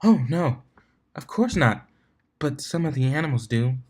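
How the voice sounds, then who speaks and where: A man voicing a gentle, shy young female pegasus pony in a soft-spoken, meek manner. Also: fairly high pitch, lively intonation, unhurried, voice pushed up. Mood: bashful.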